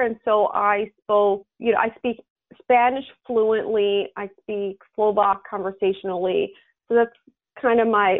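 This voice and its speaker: A woman from the United States explaining things earnestly, with examples, as an advisor being interviewed. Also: mid-range voice. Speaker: interview guest, estate planning and tax advisor. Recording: thin, narrow phone-line sound.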